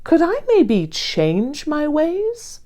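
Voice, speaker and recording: Woman voicing an earnest storybook sloth, high and animated. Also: very slow, heavily acted. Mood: wondering. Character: pleasant, earnest, determined male sloth. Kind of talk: children's storybook character reading.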